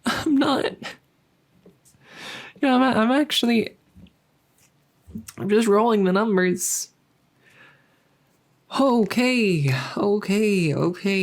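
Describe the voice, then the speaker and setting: A woman playing a friendly, chatty dungeon master in a soft-spoken ASMR roleplay, musing and hesitant as she talks intimately to the listener. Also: medium pitch. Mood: amused, focused. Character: friendly female dungeon master, chatty, teasing, doting cat owner.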